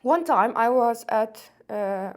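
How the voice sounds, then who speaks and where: A woman in her thirties, from Syria, talking as a podcast guest in a hesitant way with many pauses and restarts. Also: fairly high pitch, expressive. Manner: soft-spoken, hesitant, with many ums and restarts. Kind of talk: casual interview talk.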